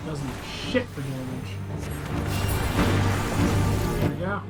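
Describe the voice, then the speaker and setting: A man's voice: a little high, normal speed. A man giving casual, blunt, sweary gameplay commentary, describing what is on screen as he plays. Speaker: host of a descriptive game playthrough. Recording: loud game music behind the voice. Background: game music.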